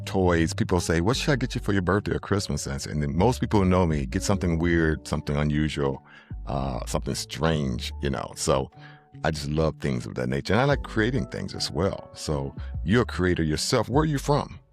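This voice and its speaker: A middle-aged podcast host with an extremely low, deep bass voice and a gravelly, fry-edged texture. He chats in an easygoing, chuckling way. Faint music sits in the background. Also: expressive, brisk. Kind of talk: casual interview chat.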